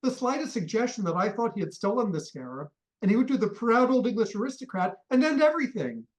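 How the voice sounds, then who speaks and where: A man acting an irascible middle-aged father and collector in a comic radio play. His delivery swings widely in pitch as he blusters and rants with indignation. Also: big pitch swings, fast, poor audio. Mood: indignant, sarcastic.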